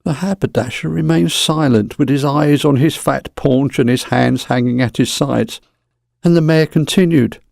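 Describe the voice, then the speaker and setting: A man's voice: mid-range voice, moderate pace, vocal fry, a little mellow, no echo, studio quality. A man reading scripted story narration in a dramatic storytelling style.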